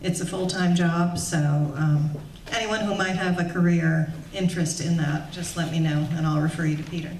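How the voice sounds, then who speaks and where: A woman from the organization making announcements and introducing the evening's speaker, speaking softly with many "um"s. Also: on the low side, steady tone, normal speed, recorded from a distance. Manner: soft-spoken, with frequent "um" and "uh". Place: meeting room with an audience. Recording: echoey room recorded from afar with faint hiss.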